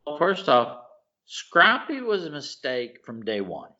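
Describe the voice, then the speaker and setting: A man co-hosting a podcast in casual chat, excitable and rambling, often restarting his sentences. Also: fairly high pitch, unhurried. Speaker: podcast co-host. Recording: dull lo-fi podcast audio.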